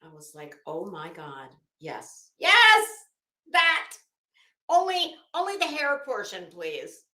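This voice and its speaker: Female streamer, high-pitched and slow-paced, chatty, emphatic and digressive, recorded in an echoey room. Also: wildly swooping pitch, sharp tone. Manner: rambling, self-interrupting, searching for words; dramatic, emphatic storytelling with self-quoting. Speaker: livestream host. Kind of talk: casual livestream chat. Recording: roomy, echoing room sound.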